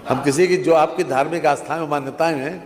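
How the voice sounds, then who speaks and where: A male minister makes an emphatic impromptu rebuttal, repeating phrases and using formal honorifics. His slightly high voice echoes strongly around a big legislative chamber. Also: fairly even intonation, quick pace. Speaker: government minister replying in a state assembly. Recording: reverberant assembly hall, faint noise.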